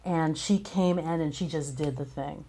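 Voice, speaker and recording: A woman's voice: deep voice, steady tone, moderate pace, warm tone. A woman being interviewed as a guest playwright, talking conversationally with effusive, emphatic praise.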